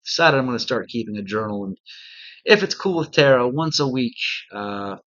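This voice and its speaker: A man's voice: medium pitch, lively intonation, normal speed, a bit bright, no room sound, muffled recording. A middle-aged American man, still congested after strep throat, rambling casually with lots of ums as a beginner voice actor in a small cedar closet. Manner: rambling, self-deprecating, many ums. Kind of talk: casual personal vlog.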